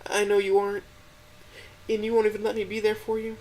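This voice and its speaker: A man voicing a gentle young female dinosaur who stammers and quivers as she pleads tearfully. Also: high-pitched, completely flat delivery, a little fast, pitched above the performer's own voice, rough recording. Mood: tearful pleading.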